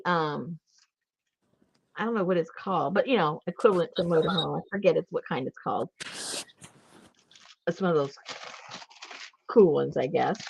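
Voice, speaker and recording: A woman's voice: lowish voice, vocal fry, slight background noise. A woman from Rhode Island chatting casually while she crafts, laughing often and telling stories. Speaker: craft livestream host. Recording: dull lo-fi sound.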